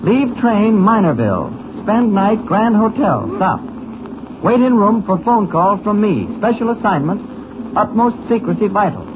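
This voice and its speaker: A calm, kindly reporter in a 1940s radio serial. His voice is high for a man, easy, avuncular and lightly wry. The sound is tinny and heavily noisy. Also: a little slow. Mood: brisk. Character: calm, kindly adult male newspaper reporter.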